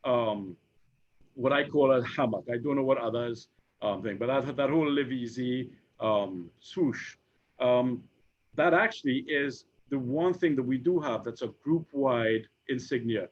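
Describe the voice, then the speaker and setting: Trini guy in his 50s, stumbly repeats and lots of ums, mid voice, muffled lo-fi audio. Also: medium pitch. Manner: halting, repeats words, many fillers. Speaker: insurance operations executive, panel guest. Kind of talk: conversational panel discussion. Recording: dull lo-fi recording.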